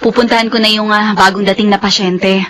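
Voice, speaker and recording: An actress playing a dutiful, overworked hospital nurse in a radio drama. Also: female voice, medium pitch, level tone, brisk, a bit bright, natural voice. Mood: weary.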